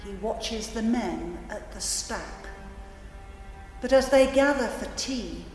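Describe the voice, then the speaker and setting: Woman narrator reading super slow n solemn, far off in a big echoey hall. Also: a little high, animated, a little mellow. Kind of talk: solemn literary narration in a performance. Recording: distant, echoey hall with music. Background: music.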